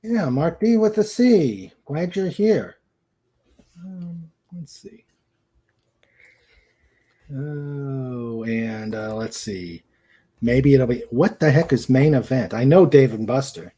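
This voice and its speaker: An older man hosting a casual livestream, greeting viewers in a halting way and often trailing off mid-sentence. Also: medium pitch, animated, dark tone, husky. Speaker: livestream show host.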